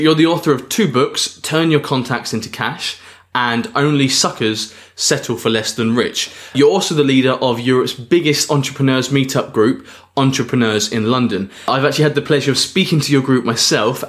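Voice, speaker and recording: A man hosting an online marketing podcast, introducing a guest in a clear, scripted way. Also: mid-range voice, fairly quick, a bit bright. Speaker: podcast host.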